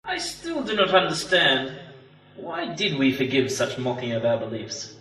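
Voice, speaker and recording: A man's voice: medium pitch, lively intonation, moderate pace, sharp tone, echoey room, distant mic, rough recording. A man playing a zealous, easily offended cult acolyte in an amateur sci-fi radio play, with a pious, formal manner. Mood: troubled.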